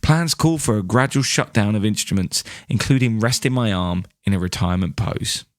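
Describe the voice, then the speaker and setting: A man's voice: lowish voice, normal speed, no echo, studio quality. A man co-hosting a podcast, presenting news in a conversational style. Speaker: podcast co-host.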